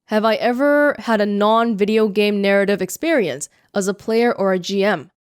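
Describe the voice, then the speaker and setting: Young canadian woman rambling through a q&a, self-mocking, smooth voice right on the mic. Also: medium pitch, dry recording, clean studio sound. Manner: chatty, self-mocking, quick asides. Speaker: YouTuber. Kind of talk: casual Q&A vlog. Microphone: close studio mic.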